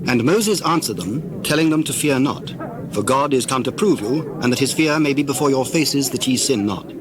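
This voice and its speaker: A man narrating dramatized scripture in a solemn, measured storytelling manner. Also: mid-range voice, crowd noise. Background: loud music.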